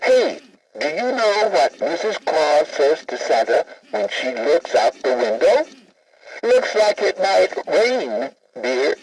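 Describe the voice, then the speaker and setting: A man's voice: on the high side, big pitch swings, slow, breathy edge, heavily acted. A man voicing a vain, jolly talking Christmas tree toy, hammy and full of puns, on a muffled, poorly recorded cassette. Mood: jolly, joking. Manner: hammy, boastful, jokey, punning. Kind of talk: scripted novelty toy patter and carol singing.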